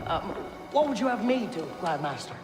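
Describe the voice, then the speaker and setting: A man's voice: high-pitched, sing-song, normal speed. A man playing an earnest, aggrieved tax clerk in a historical video game, speaking with protesting sincerity. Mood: deferential. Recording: echoing hall with music underneath.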